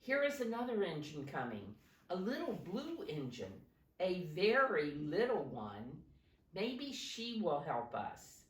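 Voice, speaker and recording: A woman's voice: fairly low pitch, huge pitch swings, slow, exaggerated voice. A woman voicing a little male toy clown in a storybook, hopeful and encouraging. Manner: cheering, calling out. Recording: roomy, recorded from a distance.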